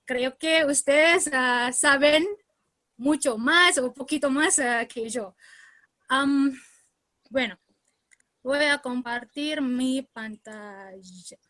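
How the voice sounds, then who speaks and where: A woman from Indonesia with an Indonesian accent, giving a seminar presentation in beginner Spanish, often pausing to search for words, over a video call. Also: high-pitched, bright, forceful tone, no echo.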